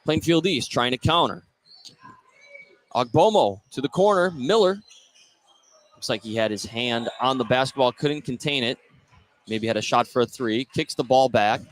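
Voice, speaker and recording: A man doing live basketball play-by-play as the announcer, energetic and lifting his voice on scoring plays. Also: mid-range voice, normal speed, pauses often. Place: indoor gym at basketball tournament. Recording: noisy gym ambience behind voice. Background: gym ambience.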